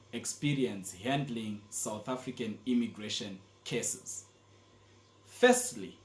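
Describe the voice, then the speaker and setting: A man speaking as an immigration specialist and channel host, giving a formal, deliberate explainer with firm emphasis on key words. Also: mid-range voice. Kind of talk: scripted explainer presentation to viewers. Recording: echoey, roomy sound.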